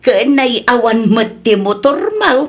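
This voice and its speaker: Woman acting as high-voiced nagging village wife, Ilocano accent. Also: sing-song, takes it slowly, heavily acted. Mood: teasing. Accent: Ilocano Filipino. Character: nagging, sharp-tongued village wife and mother. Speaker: actor in an Ilocano comedy drama. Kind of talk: comedy radio drama acting.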